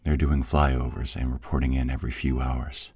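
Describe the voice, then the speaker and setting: A man playing a steady, authoritative director in an audio roleplay, measured and businesslike with dry care. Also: extremely low pitch. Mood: briefing. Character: steady, authoritative male director planning a raid, dryly caring. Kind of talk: audio roleplay drama acting.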